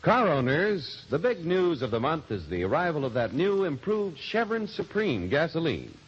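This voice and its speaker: A man's voice: fairly high pitch, sing-song, moderate pace, husky. A man announcing on a 1940s radio show, delivering scripted narration in a dramatic, polished salesman's manner on a muffled old recording.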